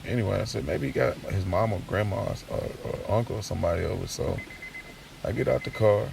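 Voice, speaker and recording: A man playing a streetwise young man just out of jail, telling his story conversationally in casual slang, with a gravelly voice, in an audio drama. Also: sits low, fairly quick, recorded from a distance, background noise. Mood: excited, puzzled. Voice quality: gravelly, creaky.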